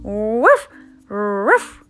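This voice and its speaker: A woman voicing a small, playful, excitable puppy with emphatic spoken woofs in a children's storybook. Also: medium pitch, drawn-out words, faint music behind, full character voice. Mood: excited, playful. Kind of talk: children's picture book read-aloud.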